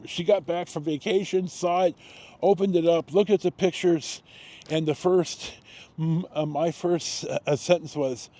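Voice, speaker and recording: Mellow older American man, halting storyteller. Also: fairly high pitch, steady tone. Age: 60s. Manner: halting, with frequent pauses and repetitions. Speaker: storytelling vlogger. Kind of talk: casual rambling personal storytelling.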